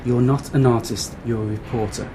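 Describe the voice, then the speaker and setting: A man's voice: mid-range voice, fairly even intonation, moderate pace, noisy background, close to an everyday voice. A man playing a stern, exasperated newspaper editor in an amateur radio play, reasoning and admonishing as he speaks.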